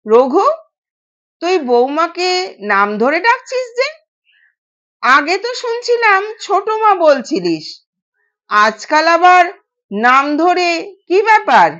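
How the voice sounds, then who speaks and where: Stern elderly matriarch, put on by a female narrator, high swooping voice, commanding, scolding, audio drama. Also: very animated, slow pace, pauses often, fairly bright tone, muffled recording, heavily acted. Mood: disapproving. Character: stern, bossy old mother-in-law, scolding matriarch.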